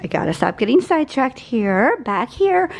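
A woman's voice: a little high, sing-song, moderate pace, vocal fry. A woman in her forties chatting casually and rambling as she shows her groceries in her home kitchen. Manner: chatty, rambling, easily sidetracked. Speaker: vlogger. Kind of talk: casual grocery haul chat.

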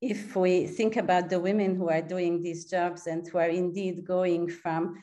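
A woman's voice: fairly low pitch, level tone, unhurried, dark tone. A woman from Hungary, asking a long, searching question on a video call, with many restarts and fillers. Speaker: audience member asking a question. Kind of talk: online seminar question.

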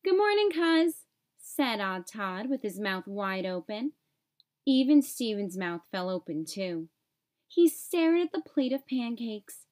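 A woman reading a children's picture book aloud as an expressive storyteller, phrasing clearly for young children. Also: a little high.

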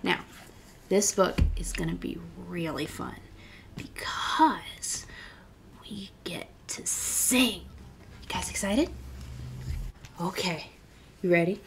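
A woman's voice: on the low side, slow, pauses often, in a whisper. A young adult woman reading picture books aloud as a children's librarian, encouraging and speaking directly to young listeners. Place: indoor library room with bookshelves.

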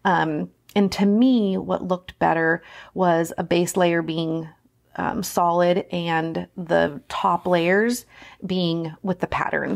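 A woman's voice: lowish voice, creaky, a little mellow. A woman hosting a casual hands-on craft tutorial, chatting informally and thinking aloud as she works.

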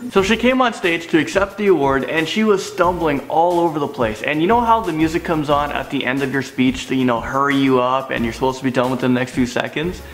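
A man's voice: a little high, fairly quick, hardly any pauses, fairly bright tone, slight background noise. A young man hosting a casual celebrity news show, talking animatedly with his hands in a studio. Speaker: entertainment news host. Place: studio in front of a green-screen backdrop.

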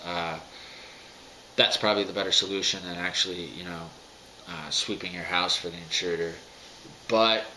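Deep-voiced man talking with a cold. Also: sharp tone, a little hiss or hum, rough recording. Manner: rambling, many fillers and restarts. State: recovering from a cold. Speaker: firearms vlogger. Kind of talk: casual talk to camera. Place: dim home room, indoors.

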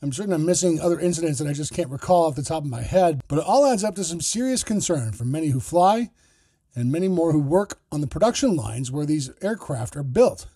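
A man hosting a news podcast, reading a scripted report in a measured, explanatory way. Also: a little high, lively intonation, warm tone, husky, dry recording.

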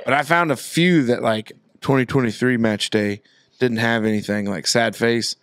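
A man hosting a laid-back podcast, reading out a news piece before drifting into loose banter. His voice sits at an ordinary middle pitch. The sound is close and dry, with no room echo. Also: fairly even intonation, moderate pace, a bit bright, clear, resonant tone. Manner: reads news aloud, then chats loosely. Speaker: podcast host. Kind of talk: casual chat.

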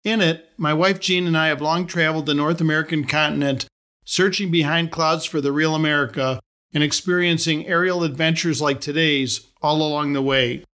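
Man, middle-aged, podcast host, scripted narration, wry and measured, somewhat high pitch. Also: fairly even intonation, sharp tone. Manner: measured, wry storyteller reading aloud.

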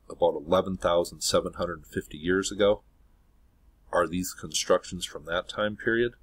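A man's voice: deep voice, fairly bright tone. A man narrating his own screen recording in an earnest, speculative way, leaning often on "obviously". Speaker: video host.

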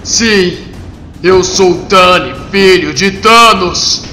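Intense comic-book hero, male actor, high-pitched and slow, declamatory, in heavy reverb. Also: heavily acted. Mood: anguished. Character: earnest embattled male superhero, defiant, intense. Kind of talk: dramatic motion comic dubbing. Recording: echoey hall reverb, loud music underneath.

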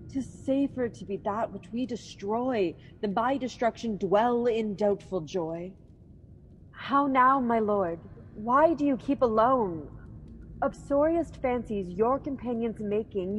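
A scheming queen, slow measured speech, higher voice, radio play. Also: a little hiss or hum, rough recording. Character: Lady Macbeth, a cold ambitious queen. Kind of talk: classic radio drama acting.

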